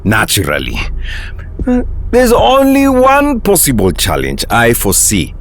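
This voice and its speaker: A man playing a corrupt, formal attorney general in a motion comic, speaking in a measured, insinuating way. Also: mid-range voice, wildly swooping pitch. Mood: conspiratorial. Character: smooth-talking, corrupt male attorney general, sly and formal. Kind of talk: motion comic character acting. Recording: faint background noise and ambience.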